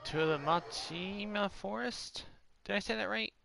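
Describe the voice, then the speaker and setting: A woman's voice: medium pitch, a little slow, vocal fry, fairly bright tone. A woman doing casual gameplay commentary as a streamer, reading dialogue choices aloud. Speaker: game streamer.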